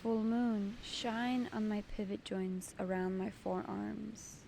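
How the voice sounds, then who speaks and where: A woman leading a guided meditation as its host, giving soothing, deliberate instructions in short phrases. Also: medium pitch, little pitch movement, creaky, dark tone, a little hiss or hum.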